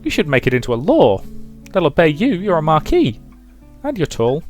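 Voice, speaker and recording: A man's voice: fairly high pitch, huge pitch swings, moderate pace, faint music behind, pitched above the performer's own voice. A man voicing a bold, chatty little girl in a visual novel, eager and childlike. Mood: cheeky. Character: bold, chatty little girl who adores her papa.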